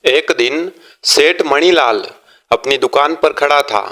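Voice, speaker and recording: A man narrating a scripted moral story for children, deliberately, phrase by phrase. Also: on the high side, a bit bright.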